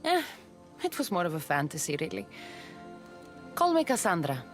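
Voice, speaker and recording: A woman's voice: lowish voice, huge pitch swings, normal speed, soft background music. An actress playing a weary, wry and compassionate warrior woman in a video game. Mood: gentle, teasing. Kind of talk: dramatic video game acting.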